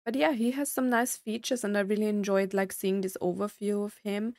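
A woman's voice: medium pitch, fairly even intonation, a little fast, a little mellow, dry recording. A young woman giving casual reaction commentary as a video host, thinking aloud in an informal, hesitant way. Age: young adult. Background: faint game music.

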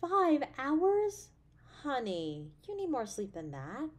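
Woman acting an expressive, affectionate girlfriend. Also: sits high, takes it slowly, full character voice. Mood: sympathetic, chatty. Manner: gushing, tender, expressive. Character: affectionate, playful, caring girlfriend on a home date. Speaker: voice actor. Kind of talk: intimate roleplay audio drama.

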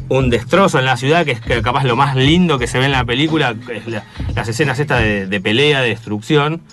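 A man's voice: mid-range voice, a bit bright. A man with an Argentine accent chatting casually as a radio columnist, hesitant and searching for words. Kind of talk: casual explanatory chat.

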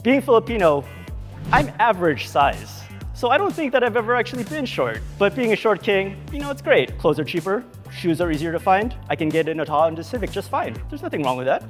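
Upbeat high-voiced man of forty. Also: big pitch swings, a little fast, faint music behind. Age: 40s. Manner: upbeat, joking. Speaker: game show contestant. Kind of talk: casual chat.

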